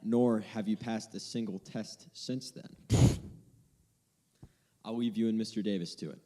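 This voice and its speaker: A man's voice: on the low side, steady tone, unhurried, warm tone, natural voice. A young man playing a stern, dry math teacher. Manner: dry, formal, reproachful.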